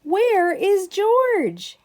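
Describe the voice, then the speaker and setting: A woman voicing excitable storybook firemen and sailors, calling out in an urgent, exclamatory way. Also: very high-pitched, very slow, no room sound, pitched above the performer's own voice, heavily acted. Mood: alarmed. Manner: urgent, exclamatory, repeating words.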